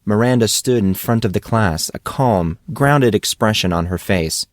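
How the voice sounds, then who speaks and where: A man's voice: lowish voice, moderate pace, no echo, studio quality. A man reading a scripted fantasy story as an audiobook narrator.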